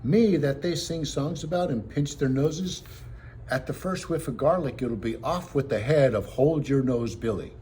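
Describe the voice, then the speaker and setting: A man reads the part of a big hairy bandit from a children's storybook, loud and blustering. The voice jumps above the reader's usual pitch, and he talks in rough, suspicious bursts, with some noise on the recording. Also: moderate pace, close to an everyday voice. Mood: indignant.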